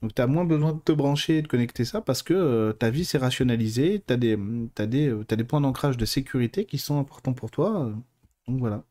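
A man with a relaxed, mellow voice hosts a live stream and answers viewers. He talks informally and colloquially, wandering into digressions as he goes. The delivery is chatty and unscripted. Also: mid-range voice, brisk. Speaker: host of a live stream on mediumship and clairvoyance. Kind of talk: casual live talk answering viewer questions.

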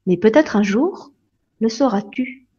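A woman voicing a gentle, loving fairy in a storybook reading, speaking softly and soothingly. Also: a little high, animated, measured pace, husky, dull audio. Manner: soft, hushed, soothing.

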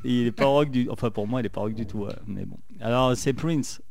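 A man's voice: mid-range voice, fairly quick, creaky, darkish tone. A man co-hosting a radio chat, laid-back with brief remarks. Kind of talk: casual chat.

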